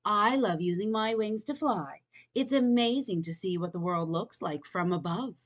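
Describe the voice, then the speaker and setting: A woman's voice: mid-range voice, normal speed, close to an everyday voice. A woman voicing a cheerful, confident female bird in a storybook, enthusiastic and encouraging. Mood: proud, friendly.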